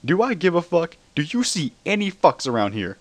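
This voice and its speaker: A man voicing an indifferent, foul-mouthed superhero in a comic story reading, with a drawn-out, uncaring delivery. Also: a little high, very animated, no room sound, voice pushed up. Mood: defiant, uncaring. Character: deadpan, indifferent male superhero, swearing and unbothered.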